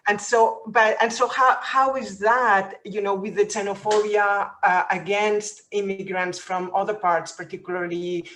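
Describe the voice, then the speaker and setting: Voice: medium pitch, fairly even intonation, unhurried, hardly any pauses, fairly bright tone, low-quality recording. A person asking a long question at an academic talk, tentative and searching for words. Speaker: audience questioner.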